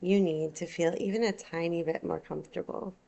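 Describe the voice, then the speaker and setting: A woman teaching yoga nidra, guiding a relaxation meditation in a soothing, unhurried, gentle way. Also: lowish voice, steady tone, creaky.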